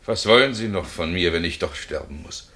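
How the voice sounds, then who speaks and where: A man's voice: deep voice, expressive, brisk, a bit bright. An actor playing a desperate condemned man who pleads his innocence with theatrical passion in a radio play. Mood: fearful, subdued. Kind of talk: 1960 German radio drama acting.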